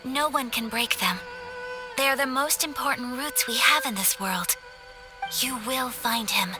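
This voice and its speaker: An actress voicing a gentle, graceful young noblewoman in a game cutscene, speaking softly, formally and sincerely. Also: high-pitched, a little slow. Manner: soft-spoken, formal and sincere. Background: music.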